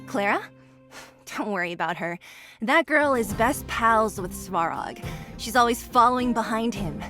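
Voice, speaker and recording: A woman's voice: fairly high pitch, expressive, a little slow. A woman voicing a tough young underground fighter in a video game, brusque and matter-of-fact. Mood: resigned, blunt. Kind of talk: scripted video game voice acting. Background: game music.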